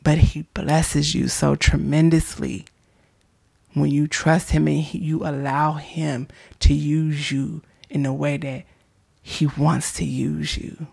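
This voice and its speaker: Woman podcast host, hoarse and raspy, fading into breathy whispers; gentle pep talk on faith. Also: low voice, flat delivery, takes it slowly. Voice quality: hoarse, gravelly. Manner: soft-spoken, drops into whispers, affectionate. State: losing her voice. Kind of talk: casual faith-based encouragement.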